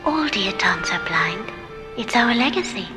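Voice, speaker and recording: Hushed, echoing alien mystic. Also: female voice, medium pitch, very animated. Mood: solemn, mystical. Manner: solemn, measured. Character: serene, mystical blind alien woman, grave storyteller. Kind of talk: old-time radio science fiction acting. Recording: long hall reverb, music behind.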